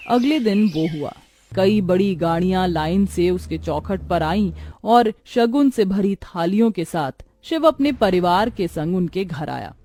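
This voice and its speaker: A woman's voice: medium pitch, mellow, no echo. A woman narrator reading a scripted moral tale in a storytelling style.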